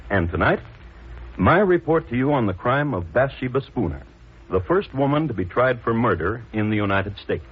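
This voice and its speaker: A man's voice: medium pitch, big pitch swings, background noise, natural voice. Dry, tinny vintage crime-radio host played by a man. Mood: dry, ominous. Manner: dry, precise, deliberate. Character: dry, measured male connoisseur of crime. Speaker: host and narrator. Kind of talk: 1950s radio scripted narration. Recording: tinny 1950s radio broadcast.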